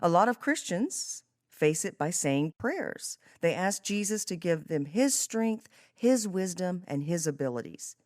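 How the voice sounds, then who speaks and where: A woman's voice: fairly low pitch, sing-song, a little slow, hardly any pauses, darkish tone, no echo, studio quality. A woman hosting a podcast interview, talking conversationally about a book and faith. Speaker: podcast host.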